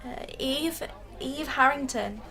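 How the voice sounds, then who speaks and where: Woman playing a bright, high-voiced shy young fan. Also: takes it slowly, full character voice. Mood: shy, hesitant. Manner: hesitant, stammering. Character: shy, humble young female fan, earnest and self-effacing. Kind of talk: radio drama acting.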